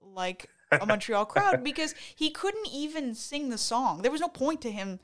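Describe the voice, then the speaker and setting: A woman from Canada co-hosting a podcast, talking excitedly in casual chat and often interrupting herself. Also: high voice, normal speed. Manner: excited, chatty, self-interrupting. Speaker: podcast co-host.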